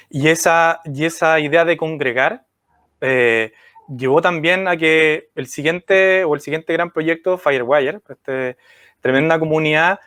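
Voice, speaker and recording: A man's voice: fairly high pitch, fairly bright tone, dry recording, clean studio sound. A man hosting a live-stream interview, giving short affirmations and asking long, winding questions. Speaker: host.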